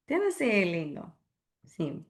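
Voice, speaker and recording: A woman from the United States, a language learner, giving slow, careful short answers in an interview. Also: medium pitch, huge pitch swings.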